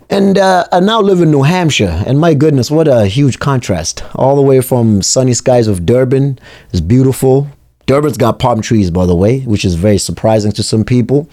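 A man from South Africa hosting a motivational radio segment, talking in a reflective, earnest and measured way. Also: mid-range voice, mellow, no room sound, studio quality. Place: radio studio.

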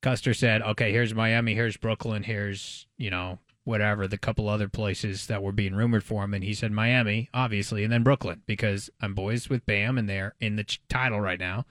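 A man's voice: on the low side, fairly even intonation, a little fast, few pauses, a bit bright, no room sound. A man co-hosting a sports talk show, bantering casually about basketball in a wry, playful way.

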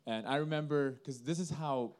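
Guy from indonesia, band musician doing a casual interview, mellow voice, earnest storyteller. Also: fairly high pitch, moderate pace.

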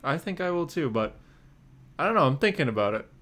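A man co-hosting a podcast in casual news chat, with a hesitant, apologetic and halting way of talking. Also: on the high side, sing-song, fairly bright tone. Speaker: podcast co-host.

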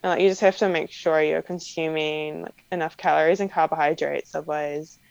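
Chatty New Zealand woman, gravelly low voice. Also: steady tone, brisk, a little mellow, no room sound. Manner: chatty, frequent "like" fillers and light laughs. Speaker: professional cyclist guest. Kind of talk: casual interview answers. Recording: slightly dull lo-fi sound.

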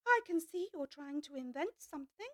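A woman's voice: sits high, expressive, normal speed, fairly bright tone, no room sound, clean studio sound, pitched above the performer's own voice. A woman voicing an indignant mother pigeon guarding her eggs, accusing and sulky in a storybook reading. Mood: shrill, indignant.

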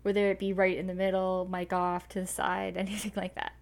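A woman's voice: mid-range voice, monotone, fairly quick, creaky. A woman co-hosting a podcast in casual chat, who stutters at times, freezes mid-sentence and laughs often. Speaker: podcast co-host. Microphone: podcast microphone.

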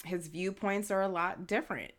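A woman's voice: mid-range voice, steady tone. A young woman co-hosting a podcast, talking in a reflective, agreeable casual chat. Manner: reflective, agreeable, quick to laugh. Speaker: podcast co-host.

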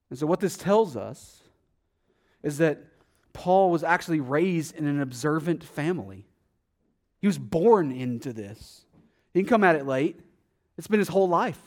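A man preaching a sermon as pastor, conversational, with rhetorical questions and dramatic swings between loud emphasis and quiet asides. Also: a little high.

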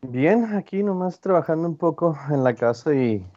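A man's voice: fairly high pitch, expressive, moderate pace, a little mellow, dry recording. A man in his thirties with a northern Mexican accent, talking casually as an interview guest in an informal, wandering way. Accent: northern Mexican Spanish. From Ciudad Juárez, Mexico, and El Paso from age twelve. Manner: informal, digressive, often tags phrases with a checking "¿no?". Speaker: guest, printmaker artist. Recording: slightly dull, lo-fi, dry sound.

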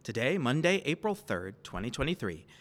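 A man's voice: medium pitch, very animated, normal speed, fairly bright tone. A man hosting a podcast, reading a scripted introduction and sign-off. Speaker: podcast host.

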